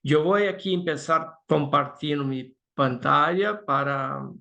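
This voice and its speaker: High-pitched, creaky-voiced man with Brazilian accent. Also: unhurried, pushed and bright, lo-fi sound. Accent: Brazilian Portuguese. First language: Portuguese. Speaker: guest expert speaker. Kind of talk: webinar presentation.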